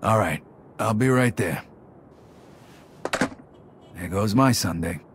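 Low-voiced, cool young mobster. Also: male voice, expressive, slow. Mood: cool, respectful. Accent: American. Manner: laconic, blunt. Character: tough, cool young mafia soldier. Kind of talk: video game cutscene acting. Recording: clear background noise and ambience.

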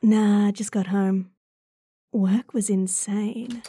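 A woman's voice: mid-range voice, fairly even intonation, very slow, warm tone, husky. A woman playing a young, idealistic, hot-tempered werewolf in an audio roleplay drama, speaking casually and bluntly. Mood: affectionate, casual.

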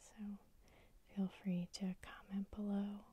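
A woman whispering softly as the host of an ASMR sleep hypnosis session, guiding the listener in a slow, soothing way. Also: medium pitch, level tone, a little hiss or hum. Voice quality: soft whisper.

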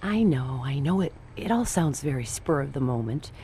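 An actress playing a lovestruck, trusting nightclub torch singer, coy and theatrical, in the manner of old-time radio noir. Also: female voice, very deep voice, expressive, moderate pace, warm tone, a little hiss or hum. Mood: earnest, uneasy.

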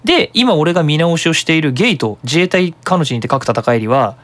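A web radio host giving a casual, explanatory monologue in an animated manner. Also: mid-range voice, a little fast.

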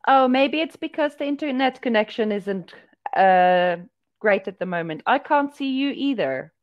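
A woman's voice: on the high side, animated, normal speed. A young woman from Africa, tutoring English on a video call and patiently asking simple questions through a headset. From South Africa. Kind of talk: casual video-call conversation practice. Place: indoor room. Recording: muffled video-call audio.

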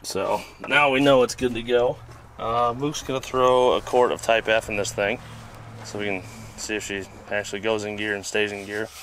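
Low-ish guy talking thru car repair outside, chill and rambly, bit of background noise. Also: steady tone, normal speed, fairly bright tone, rough recording. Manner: laid-back, rambling, swears casually. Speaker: host and mechanic. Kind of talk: casual hands-on repair explanation. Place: outdoor junkyard by a vehicle.

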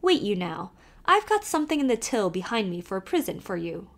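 Storybook part: a woman playing a jolly old Irish peddler man, sly brogue, lively swooping delivery. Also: on the high side, a little slow, pitched above the performer's own voice. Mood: teasing. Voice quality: thick brogue, sly, teasing.

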